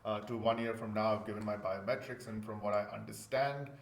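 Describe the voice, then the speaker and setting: A man's voice: fairly low pitch, steady tone, fairly quick. A man from India speaking casually as a guest in an interview, recorded in an echoing room. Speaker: interview guest, golden visa client. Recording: roomy, echoing indoor sound.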